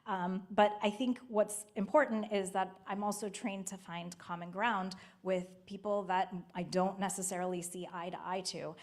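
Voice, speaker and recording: A woman who is a physician and psychiatrist, giving an earnest, measured closing statement as a congressional candidate. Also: mid-range voice, steady tone.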